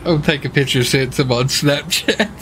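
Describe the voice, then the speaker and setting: A man's voice: fairly high pitch, normal speed, a bit bright, slight background noise. An American man in casual gaming radio chatter, with a folksy, easygoing manner. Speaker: streamer playing a police officer in online roleplay.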